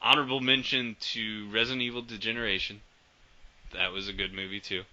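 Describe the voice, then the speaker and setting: A man co-hosting a casual podcast, his voice very bright and forward, a little on the low side. He rambles animatedly and laughs easily, and the recording is dull and lo-fi. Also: steady tone, fairly quick. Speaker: podcast co-host.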